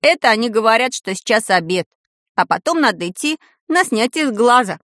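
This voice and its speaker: A man's voice: very high voice, expressive, fairly quick, bright, forceful tone, no room sound, studio quality, exaggerated voice. A woman voicing a curious, grumbling schoolboy wizard in a storybook, in an informal way. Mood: uneasy.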